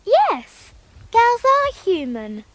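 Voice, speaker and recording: A woman's voice: very high voice, wildly swooping pitch, drawn-out words, fairly bright tone, a little hiss or hum, heavily acted. A female performer playing a curious, frank young English girl in a radio drama, eager and polite with a British accent. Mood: indignant. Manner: eager, polite, plain-spoken.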